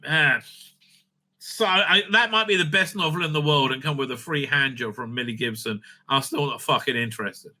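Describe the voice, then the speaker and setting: A man's voice: medium pitch, moderate pace, bright, forceful tone. A man giving casual, unscripted online commentary, sweary, sarcastic and exasperated in manner. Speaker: online commentator reviewing audio drama releases.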